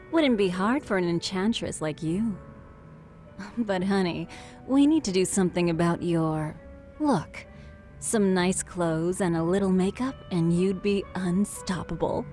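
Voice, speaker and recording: A woman voicing a playful, flattering, worldly barroom confidante in a video game English dub, coquettish and teasing. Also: on the low side, unhurried, faint music behind, natural voice. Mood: encouraging.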